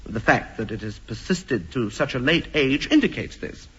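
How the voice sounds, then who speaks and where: Pompous doctor, lively old radio comedy. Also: male voice, medium pitch, husky, full character voice. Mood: grave, professorial. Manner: deliberate, formal, clinical phrasing. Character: earnest, pompous male doctor with a childish streak. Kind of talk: 1950s radio comedy acting. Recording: noisy, dull old radio recording with clicks.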